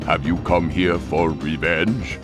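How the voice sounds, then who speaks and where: An actor voicing a huge, proud, menacing panda crime lord in a video game, speaking in a formal, pompous manner. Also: male voice, deep voice, fairly even intonation, music in the background. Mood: haughty menace. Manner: formal, pompous, slow-spoken.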